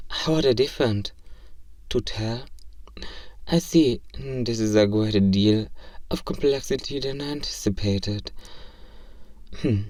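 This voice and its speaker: A man's voice: medium pitch, darkish tone, no echo, close to an everyday voice. Formal, naive robot played by a man, slightly distant. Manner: formal, literal, clinical wording, stiltedly polite. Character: earnest, curious, naive robot learning human love. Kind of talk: ASMR role-play comedy audio drama.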